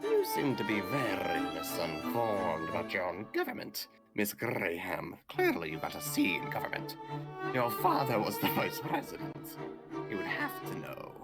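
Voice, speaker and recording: An actor playing a small, smug, theatrical aristocratic villain in a visual novel game, with mocking, drawn-out formal speech. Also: male voice, deep voice, wildly swooping pitch, gravelly, loud music behind the voice, heavily acted. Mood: smug, accusing.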